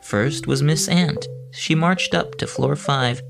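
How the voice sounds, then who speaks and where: A woman's voice: deep voice, slow pace, gravelly. A woman reading a rhyming children's story aloud in a sing-song, rhythmic way. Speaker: narrator. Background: music.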